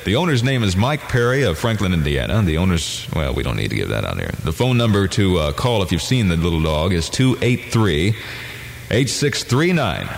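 Low-voiced radio DJ man in long reverb. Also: very animated, normal speed, gravelly. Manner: informal, wry asides. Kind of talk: reading listener classifieds on music radio. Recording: 1970s radio broadcast, long reverb, music underneath. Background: music bed.

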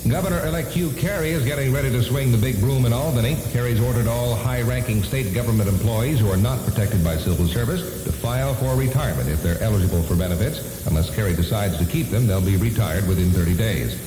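Male news anchor for vintage AM bulletin: rapid scripted read, heavy echo. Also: fairly low pitch. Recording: hissy 1970s AM radio, reverberant.

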